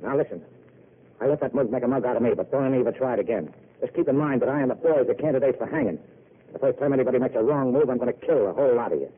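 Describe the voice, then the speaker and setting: An actor playing a hardened gangster who gives flat, threatening commands in an old radio drama. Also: male voice, mid-range voice, very fast, husky. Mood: menacing. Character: gloomy hardened male gangster, cold and menacing. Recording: tinny old radio broadcast.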